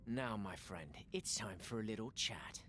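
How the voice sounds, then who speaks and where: A man's voice: fairly low pitch, expressive, a little slow, bright, forceful tone, slight background noise. A man playing a stern, impatient adventuring warrior in a video game, with terse, dramatic delivery. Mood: stern, menacing. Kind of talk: video game cutscene acting.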